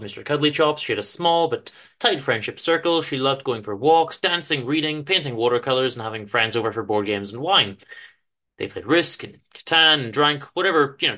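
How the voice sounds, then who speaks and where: Bright-voiced man reading a story. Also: mid-range voice. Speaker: author and reader of a story. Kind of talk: dramatic reading of fiction.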